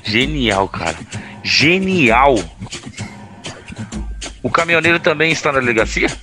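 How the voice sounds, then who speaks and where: A man's voice: mid-range voice, animated, measured pace, over music, natural voice. An actor playing an insolent, spoiled young man in an audio drama, talking in slang. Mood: smug casual. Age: young adult.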